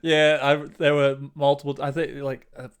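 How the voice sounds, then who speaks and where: A man's voice: on the high side, level tone, moderate pace, smooth. A young man co-hosting a podcast, telling stories casually in a blunt, slangy way with comic exaggeration. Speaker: podcast co-host. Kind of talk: casual chat, storytelling.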